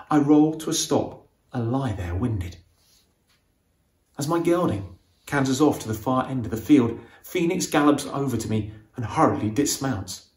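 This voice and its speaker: A man reading a novel aloud as its author, with expressive, suspenseful storytelling in a dramatic audiobook style. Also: medium pitch, echoey room.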